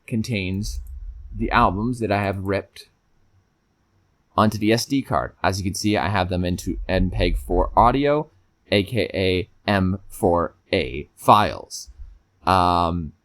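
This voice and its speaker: Male tech reviewer, lower-pitched voice, informal step-by-step hands-on demonstration. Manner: informal, talks through each step. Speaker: video reviewer.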